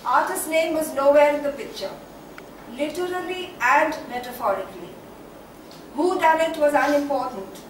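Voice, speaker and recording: High-pitched, dramatic poetess played by a woman for a stage play, declamatory and whimsical, faint background noise. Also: lively intonation. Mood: musing, grandiose. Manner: declamatory, dramatic, with emphatic repeats and drawn-out phrases. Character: theatrical, whimsical female poet musing aloud on art. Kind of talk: theatrical stage monologue, poetic recitation. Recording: distant, very echoey hall, faint noise.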